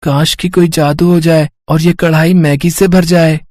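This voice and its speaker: Guy playing a little brother in a moral story, eager n wishful, kinda high voice. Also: little pitch movement, unhurried, noisy background, lightly acted. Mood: excited. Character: young, food-loving, eager little brother. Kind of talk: moral story character acting.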